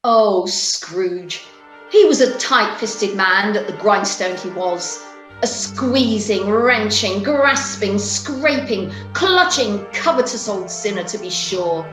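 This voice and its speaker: Woman, storyteller, scripted drama narration, emphatic, theatrical, medium pitch, roomy echo. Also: expressive, slow, a bit bright, faint music behind. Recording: echoey room.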